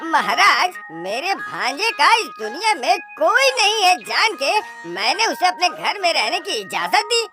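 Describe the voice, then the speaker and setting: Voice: extremely high pitch, exaggerated voice. A performer voicing an irritable, scolding village uncle in a cartoon, blustering and repeating words when agitated. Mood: complaining. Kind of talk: exaggerated Hindi cartoon story acting. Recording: music behind the voice.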